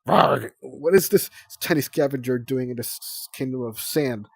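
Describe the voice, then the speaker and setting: A man voicing a small young female dragon hammily playing a grand, loud queen. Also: mid-range voice, a little slow, vocal fry, husky, dry recording. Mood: pompous.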